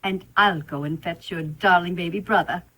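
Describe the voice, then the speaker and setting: A woman playing a kindly, reassuring nursemaid in a children's storybook play, gently instructing and indulgent. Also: fairly low pitch, moderate pace, poor audio. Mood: kindly, instructive.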